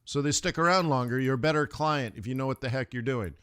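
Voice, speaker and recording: A man's voice: medium pitch, fast talker, fairly bright tone, clear, resonant tone. A middle-aged man hosting a live trading stream, talking casually in rambling asides and laughing often. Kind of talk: casual rambling livestream talk.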